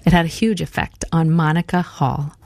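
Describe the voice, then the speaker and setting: A woman's voice: low voice, fairly even intonation, darkish tone, dry recording. A woman doing scripted narration for a ministry radio program, introducing speakers one by one. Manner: measured, introduces each speaker. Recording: music bed under the voice.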